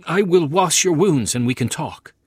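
A man voicing a gentle, caring male missionary. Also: on the high side, expressive, moderate pace, breathy edge, dry recording, clean studio sound, pitched above the performer's own voice. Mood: concerned. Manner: gentle, concerned.

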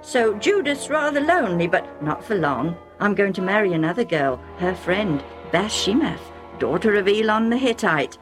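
A woman's voice: mid-range voice, very animated, normal speed, few pauses, music in the background, voice pushed up, natural voice. Woman doing young son, stammering, defensive, sheepish.

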